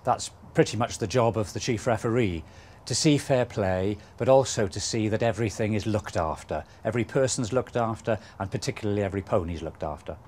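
A man who is a chief referee and vet, speaking in a measured, hesitant way with many fillers in an interview. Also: lowish voice, darkish tone, a little hiss or hum.

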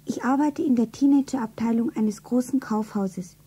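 An actress playing a slim, modest young shopgirl who dreamily narrates herself in a radio play, with a soft, breathy voice. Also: fairly high pitch, normal speed, low-quality recording. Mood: dreamy, self-absorbed.

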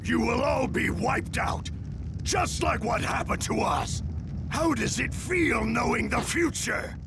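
Very high-pitched, vengeful alien seer. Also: male voice, slow, creaky, shouting, background noise, heavily acted. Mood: ominous, gloating. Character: vengeful dying alien seer pronouncing doom. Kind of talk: anime game dramatic acting. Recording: echoing hall reverb, music behind. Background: music.

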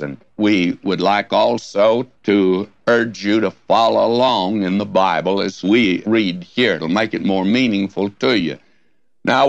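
An older man teaching the Bible on radio, with a scratchy hoarse voice and a slow, folksy, emphatic delivery that lifts on key words. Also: fairly low pitch, no echo, dull audio.

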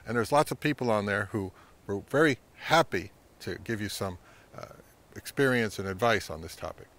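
A man's voice: lowish voice, normal speed, fairly bright tone. A middle-aged man with a North American accent giving informal, emphatic advice to camera as a channel host. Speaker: vintage audio channel host. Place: outdoors in woodland.

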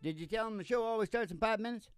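A man's voice: high-pitched, rapid-fire, clear, resonant tone. An older man hosting a music podcast, talking in a folksy, jokey, mock-boastful way. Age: older, 60s or above. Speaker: podcast host and singing DJ. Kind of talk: rambling comic banter between songs. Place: small curtained indoor studio stage. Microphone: stand microphone, close.

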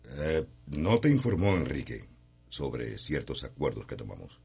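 An older Cuban patriarch in a radio melodrama. His voice is extremely deep and creaky, grave yet expressive, over faint music. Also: unhurried, heavily acted. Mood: grave, measured. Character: grave older father, patriarch of a planter family. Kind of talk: radio soap opera acting.

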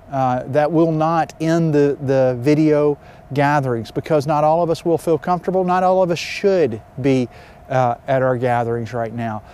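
A man in his fifties speaking as a pastor, giving announcements and gentle instruction in a measured, halting way, outdoors. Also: fairly high pitch. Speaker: pastor leading an online worship gathering. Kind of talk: spoken announcements and pastoral address. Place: outdoors beside a river.

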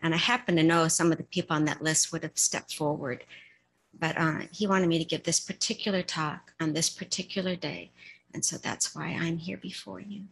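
A middle-aged woman with a soft voice giving an informal dharma talk in a meditation hall into a stand mic, halting and full of fillers. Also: low-pitched, fairly even intonation, creaky, slight background noise. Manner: halting, with many fillers and restarts. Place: meditation hall shrine room, indoors. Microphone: stand mic in front of her.

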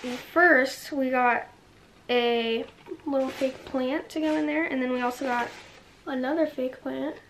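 A young woman with a high, raspy voice, filming herself with a handheld camera. She chats slowly and cheerfully to her viewers, while clear background noise runs under her voice. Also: fairly even intonation, fairly bright tone. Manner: chatty, upbeat, talks to viewers. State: raspy voice from sore throat. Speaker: vlogger. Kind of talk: casual vlog chat. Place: car, bedroom, outdoors. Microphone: handheld camera at arm's length.

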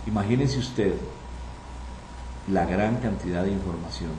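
A man's voice: low-pitched, a little slow, dark tone. A man who is a compliance trainer, talking through a slide presentation of his course. He lists topics with emphasis and corrects himself as he goes. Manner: self-correcting, emphatic listing. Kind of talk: screen-recorded course walkthrough. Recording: roomy with faint background noise.

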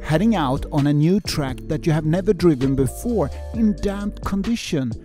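A man's voice: on the high side, animated, moderate pace, music in the background. Man narrating a voice-over. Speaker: car vlogger narrating.

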